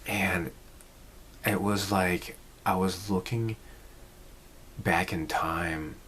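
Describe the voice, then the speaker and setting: A man's voice: sits low, steady tone, very slow, a bit bright, slight background noise. A man in his forties talking casually to camera, rambling and reflective, with many fillers and restarts. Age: 40s. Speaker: channel host. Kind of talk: casual personal vlog talk.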